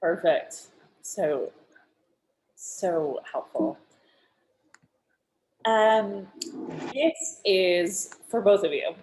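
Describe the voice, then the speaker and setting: A woman's voice: medium pitch, animated, very slow, long pauses, darkish tone, slight background noise. A woman hosting a webinar, moderating and putting questions to guests, recorded in an echoey room. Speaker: webinar host. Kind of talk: moderating a discussion, asking questions.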